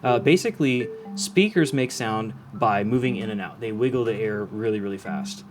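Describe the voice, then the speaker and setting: A man's voice: lowish voice, lively intonation, normal speed. Casual man explaining things, guitar music behind. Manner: informal, demonstrative, checks understanding. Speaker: audio professional explaining how speakers work.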